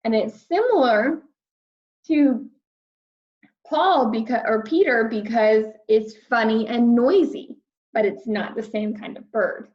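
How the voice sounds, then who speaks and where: A woman reading a picture book aloud to young children, expressively, with simple explanations along the way. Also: on the high side. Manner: slow, simple explaining addressed to young listeners. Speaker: read-aloud storyteller, teacher of young children. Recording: roomy echo, slightly dull sound.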